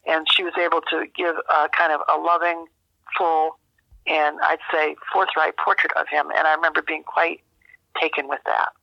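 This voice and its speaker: A woman's voice: sits low, little pitch movement, vocal fry, no room sound. Older American woman on a dull landline. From rural United States. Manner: halting, thoughtful, with long searching pauses. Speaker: interview guest, author and memoir teacher. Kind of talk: reflective interview talk. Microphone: landline telephone. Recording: dull, narrow landline phone sound.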